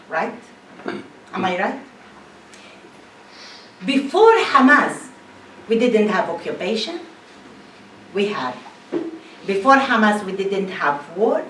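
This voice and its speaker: A woman's voice: medium pitch, fairly bright tone. A woman from northern Israel, speaking emphatically and asking rhetorical questions in a public talk. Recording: echoey room, mic at a distance, faint hiss.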